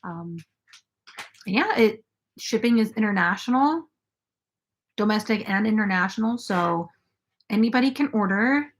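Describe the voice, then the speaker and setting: A woman's voice: medium pitch, animated, a little slow, long pauses. A woman hosting a crochet live stream from her bedroom, chatting casually and rambling with fillers into a camera on a tripod. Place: bedroom at home.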